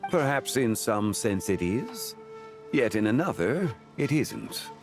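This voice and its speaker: An actor playing an ancient, composed male earth god in a video game, speaking in a formal, scholarly, measured way. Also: mid-range voice, sing-song, music in the background. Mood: calm, instructive. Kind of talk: scripted video game dialogue.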